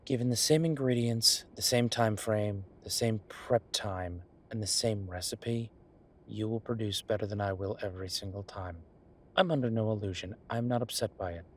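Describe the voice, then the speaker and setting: A man playing an affectionate, teasing chef boyfriend in an intimate roleplay audio, talking in a conversational, reassuring way with a husky voice. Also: fairly low pitch, moderate pace, slight background noise, natural voice. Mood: fond, playful. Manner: conversational, reassuring, playfully bantering. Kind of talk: intimate one-sided boyfriend roleplay acting.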